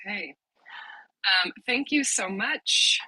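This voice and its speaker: A woman leading an online workshop on a video call, explaining the steps in a friendly way with many ums, a little hoarse from a cold. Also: mid-range voice, lively intonation, slow pace, bright, forceful tone, no room sound, poor audio. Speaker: workshop leader, lab director.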